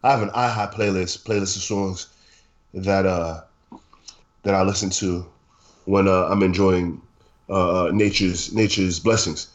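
A low-voiced man co-hosts a podcast while congested from a cold. He talks slowly and hesitates often between words. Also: fairly even intonation. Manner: slow, hesitant, many uhs. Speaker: podcast co-host. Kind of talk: casual podcast chat.

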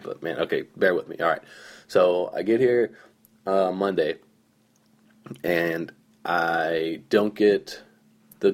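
A young man with a hoarse, groggy voice, rambling through casual stories on his solo podcast with lots of fillers. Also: low voice, darkish tone. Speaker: podcast host.

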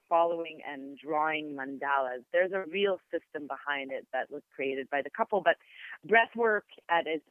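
A woman with an Israeli accent, whose first language is Hebrew, speaks as a podcast guest in a smooth, even voice. She explains things in a measured, searching way, often pausing and restarting. The sound is thin and phone-like, with a dry, close feel. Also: deep voice, dry recording. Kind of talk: reflective explanatory interview talk. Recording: tinny phone-like line, dry.

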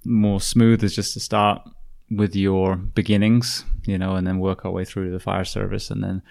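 A man with a fairly low voice hosts a podcast interview from a home. He talks in an earnest, measured way and sometimes restarts his sentences. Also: level tone, creaky, darkish tone. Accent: British. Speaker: podcast host.

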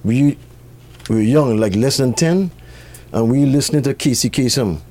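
A man's voice: mid-range voice, normal speed, dark tone, slight background noise. A middle-aged man from Trinidad with a Trinidadian accent, telling stories casually and colloquially as an interview guest. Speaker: interview guest, record shop owner. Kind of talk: casual conversational storytelling.

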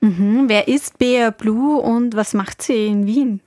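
A woman's voice: fairly high pitch, slow, mellow, dry recording. A woman hosting a conversational interview in a radio studio. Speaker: radio show host.

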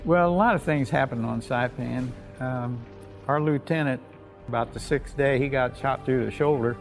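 An elderly man with an American accent, a war veteran telling his memories in a plain-spoken, halting way. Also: medium pitch, vocal fry, faint music behind. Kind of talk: unscripted reminiscence.

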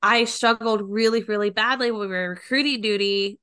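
A woman's voice: medium pitch, fairly even intonation, moderate pace, fairly bright tone, clear, resonant tone. Chatty woman co-host with a cold. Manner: chatty, full of fillers. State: stuffy nose from a cold. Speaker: podcast co-host. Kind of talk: casual podcast chat.

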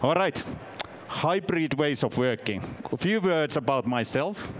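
Halting Finnish-accented man presenting. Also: fairly high pitch, expressive, slight background noise, rough recording. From Finland. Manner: halting, self-deprecating, restarts often. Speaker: conference speaker. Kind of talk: conference presentation. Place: conference session room.

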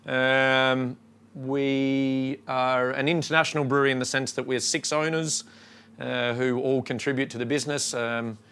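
A man with an Australian accent, a brewery co-owner giving a relaxed, halting talk to a crowd. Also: medium pitch, clear, resonant tone. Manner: relaxed and halting, lots of ums. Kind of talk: casual talk to a shop crowd.

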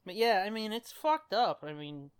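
A man's voice: high-pitched, big pitch swings, moderate pace, clean tone. A man co-hosting a podcast in casual comedic chat, profane, irreverent and joking. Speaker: podcast co-host.

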